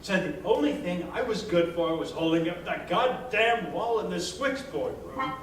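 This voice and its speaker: American guy reading a gritty crime story out loud, streetwise talk, really roomy and far from the mic. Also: high-pitched, animated, moderate pace, slight background noise. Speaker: story reader. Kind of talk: dramatic reading of a hardboiled short story in first person. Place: indoor arts venue, live performance. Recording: echoey live venue, distant mic, music behind. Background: live guitar, harmonica and percussion music.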